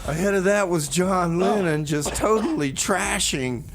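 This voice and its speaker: A man's voice: high voice, measured pace, slight background noise. A man hosting a music radio show, back-announcing songs in casual chat. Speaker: radio DJ host.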